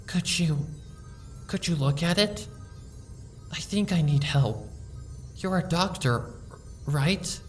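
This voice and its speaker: A woman playing a timid sick pony, soft and stammering, slow, distant mic. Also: sits low, soft background music. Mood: timid, shaky. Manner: soft, hesitant, stammering, pleading. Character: timid sick young female pony, frightened. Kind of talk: fan audiobook reading.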